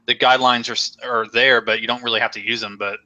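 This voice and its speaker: A man's voice: medium pitch, level tone, fast talker, sharp tone, muffled recording. A young man hosting a casual live stream chat, rambling and joking with many fillers while losing his voice. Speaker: host.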